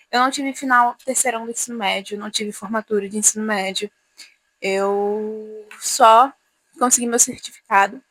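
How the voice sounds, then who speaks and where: A woman's voice: a little high, fairly bright tone, dry recording, poor audio. A woman in her twenties, talking casually to camera in a rambling, confessional way, often losing her thread. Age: 20s. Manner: rambling, self-interrupting, chatty. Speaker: vlogger.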